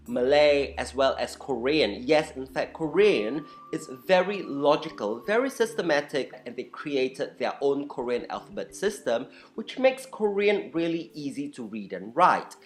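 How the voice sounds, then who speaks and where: An opinionated vlogger of unclear sex giving an explainer talk in a candid, blunt, informal way, stressing points with dramatic repetition. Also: medium pitch. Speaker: vlogger and language enthusiast.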